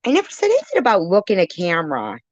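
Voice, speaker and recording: A woman's voice: medium pitch, huge pitch swings, rapid-fire, dry recording. A blunt, combative woman doing reaction commentary, talking back and swearing freely, in a dull, lo-fi recording. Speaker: commentary channel creator.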